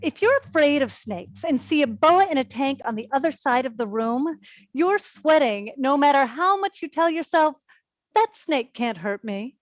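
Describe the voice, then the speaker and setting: An actress in a staged play reading, playing an earnest, anxious woman giving a presentation in a deliberate, emphatic manner. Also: high voice, animated, dry recording. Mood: earnest, explanatory.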